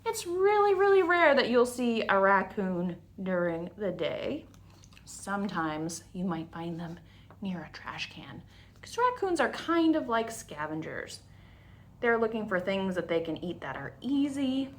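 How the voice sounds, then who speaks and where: A woman's voice: medium pitch, sing-song, a little slow. A woman hosting a story time for young children, explaining things in simple, instructional phrases.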